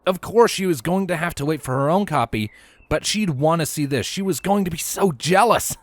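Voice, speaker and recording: A man narrating a story from a script in an expressive, animated way with theatrical emphasis. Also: a little high, fairly quick, no echo. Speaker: audiobook-style narrator of fan fiction.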